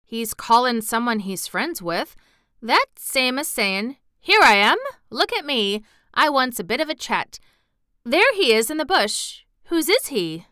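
A woman voicing a friendly, easygoing country boy in broad, unhurried Yorkshire dialect for a storybook. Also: fairly high pitch, big pitch swings, bright, forceful tone, clear, resonant tone, no room sound, clean studio sound, heavily acted. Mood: easygoing, assured.

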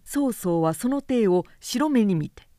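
A woman narrator reading a literary story in a measured, expressive, dramatic storytelling style. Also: on the high side, no room sound, clean studio sound.

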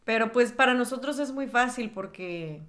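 A woman's voice: a little high, lively intonation, normal speed, a bit bright. A woman from northern Mexico with a northern Mexican accent, co-hosting a podcast in a plain, matter-of-fact way. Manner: matter-of-fact, corrects firmly. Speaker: podcast co-host. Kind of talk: casual chat.

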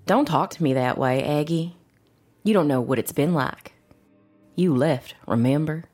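Woman playing proud witch mother, dark fantasy audio drama, extremely low female pitch, cold, sharp, defensive, faint music behind. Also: unhurried.

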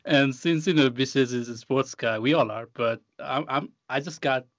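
A man's voice: medium pitch, normal speed, no echo. Joking male co-host, dry dull sound. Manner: joking, teasing. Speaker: podcast co-host. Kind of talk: casual podcast banter.

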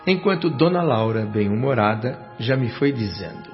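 A man's voice: mid-range voice, animated, moderate pace, close to an everyday voice. An actor playing a doctor who narrates his memories in a scripted Brazilian radio drama, in a reflective manner. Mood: serene. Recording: dull lo-fi, music underneath.

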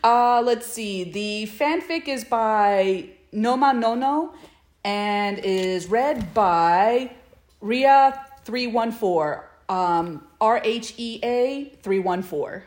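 A woman's voice: a little high, animated, very slow, darkish tone. A woman co-hosting a casual fan podcast, talking with gushing enthusiasm. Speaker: podcast co-host.

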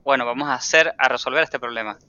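A man's voice: mid-range voice, quick pace, bright, forceful tone, clear, resonant tone. A man interviewing in a casual, informal way, often acting out quoted remarks as he talks. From Latin America, outside Argentina. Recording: dry but slightly dull, band-limited sound.

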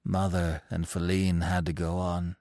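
A man voicing an exhausted young male deer in a storybook, speaking softly, seriously and with quiet resignation. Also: low voice, little pitch movement, measured pace, no room sound, studio quality, voice pushed down. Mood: weak, resigned. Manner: soft, serious, quiet and simple. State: weak.

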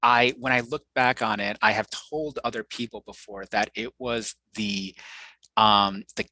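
A young man from the United States with a bright, clear-edged voice that sits a little low. He talks thoughtfully, often restarting his sentences and filling pauses with "um". The recording is close and dry. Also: fairly even intonation, dry recording, poor audio. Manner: thoughtful, many restarts and fillers. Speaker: guest, researcher. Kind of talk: conversational interview.

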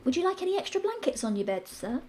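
A woman's voice: sits high, big pitch swings, fast talker, a little mellow, pitched above the performer's own voice. A woman voicing a young maid who is flustered and deferential, with rustic grammar. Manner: breathless, apologetic, rustic grammar.

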